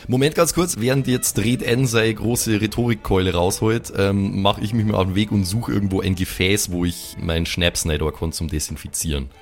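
A man's voice: on the low side, moderate pace, hardly any pauses. A man improvising casually and slangily as a player in a tabletop roleplaying game. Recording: faint music behind the voice.

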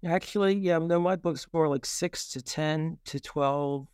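A man talking as an interview guest and author, telling anecdotes in a halting way with repeated words and restarts. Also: on the high side, darkish tone, clear, resonant tone, dry recording, studio quality. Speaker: guest, children's book author.

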